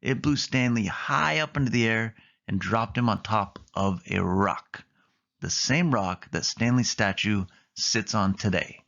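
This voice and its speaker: A man's voice: lowish voice, unhurried, creaky, fairly bright tone. A slightly muffled storybook recording of a friendly lady car acted out by a man. She is organized and reassuring, a natural bedtime storyteller. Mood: reassuring storytelling.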